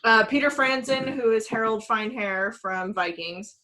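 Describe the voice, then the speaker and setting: A woman's voice: on the high side, moderate pace, bright, forceful tone, rough recording. A woman co-hosting a podcast, chatting informally and with a fan's enthusiasm about games and films. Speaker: podcast co-host.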